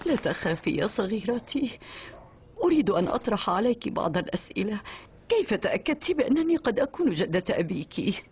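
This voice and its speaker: A woman's voice: medium pitch, very animated, brisk, a little hiss or hum, lightly acted. A woman playing a dignified aristocratic old grandmother, a duchess, with formal, stately speech in an Arabic cartoon dub. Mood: gracious, reminiscing. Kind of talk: dubbed Arabic cartoon acting. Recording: loud background music.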